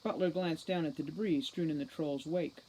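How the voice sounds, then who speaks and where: A woman giving an expressive reading of a fantasy novel aloud, like a teacher reading to a class. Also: low-pitched, fairly quick, rough recording.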